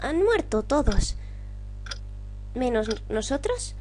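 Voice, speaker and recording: A woman's voice: high voice, sing-song, slow, dark tone, slight background noise, full character voice. A woman voicing a scared young boy from a video game, earnest and anxious. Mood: worried.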